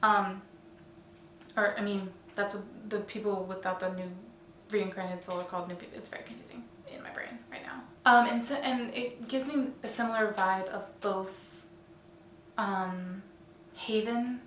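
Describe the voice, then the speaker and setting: A woman's voice: medium pitch, steady tone, moderate pace, fairly bright tone. Echoey, distant woman chatting as host. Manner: informal, self-correcting, tentative on names. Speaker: host of a weekly online roleplay community show. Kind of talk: casual chatty roundup vlog. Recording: echoey room, distant mic, faint hiss.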